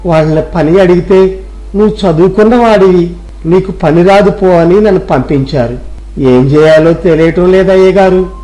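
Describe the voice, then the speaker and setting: Male actor as poor educated youth, plaintive and deferential, higher-pitched mellow voice, storybook dialogue. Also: moderate pace, close to an everyday voice. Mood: dejected. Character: poor, dejected, respectful educated young village man.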